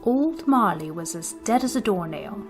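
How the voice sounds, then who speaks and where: A woman's voice: mid-range voice, animated, moderate pace. A woman giving scripted narration as host and storyteller of a radio theater show. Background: music.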